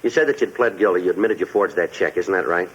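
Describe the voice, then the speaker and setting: An actor playing a dogged, level-headed police detective in a 1950s radio drama, clipped and matter-of-fact. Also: male voice, sits low, fast talker, slightly rough. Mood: persuasive, calm. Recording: muffled, noisy old 1950s radio recording.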